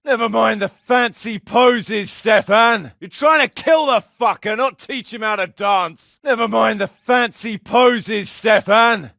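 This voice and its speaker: An actor playing a seasoned medieval mercenary captain in a video game, gruff, bossy and wry, with a coarse, commanding, colloquial way of talking. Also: male voice, sits high, sharp tone, dry recording, studio quality, full character voice. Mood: barking orders. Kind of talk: video game character voice acting.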